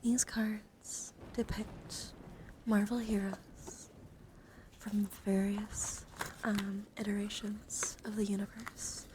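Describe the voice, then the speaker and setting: A woman hosting an ASMR livestream, chatting in soft whispers and hushed talk, with slow, repetitive phrasing. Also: medium pitch, flat delivery. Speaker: livestream host. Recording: clear background noise on livestream.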